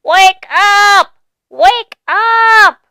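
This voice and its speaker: A woman voicing a small excited female mouse in a storybook reading. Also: on the high side, slow, clean tone, no echo, studio quality, pitched above the performer's own voice, full character voice. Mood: urgent.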